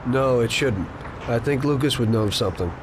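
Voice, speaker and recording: Actor as a cool, wary gangster. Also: male voice, medium pitch, normal speed, background noise, natural voice. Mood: terse. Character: cool, wary mob driver, a man of few words. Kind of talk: video game cutscene acting.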